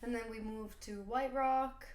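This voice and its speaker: A woman who grew up in Italy, a photographer guest on a podcast, talking in a relaxed, thoughtful way with fillers. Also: on the high side, unhurried. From Reggio Emilia, Italy. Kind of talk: casual interview chat. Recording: roomy echo, faint room noise.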